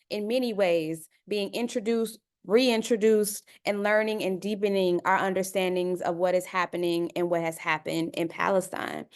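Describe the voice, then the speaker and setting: Smooth-voiced woman hosting an online panel. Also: fairly low pitch. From Chicago, United States. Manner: conversational, addresses listeners as y'all, many uh fillers. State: dry mouth. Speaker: panel facilitator.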